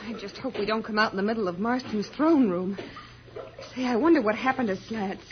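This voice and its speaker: Actress as a worried heroine, slightly high-voiced. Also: female voice, a little fast, close to an everyday voice. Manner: worried, urgent. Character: anxious, brave young woman companion of hero. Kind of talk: old-time radio serial acting. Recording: muffled old radio recording, clear hiss.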